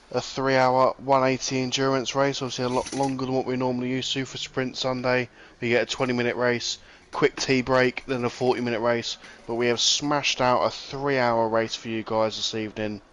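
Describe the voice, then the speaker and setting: A man's voice: medium pitch, muffled recording. A man co-commentating a sim race in an informal, chummy and colloquial way.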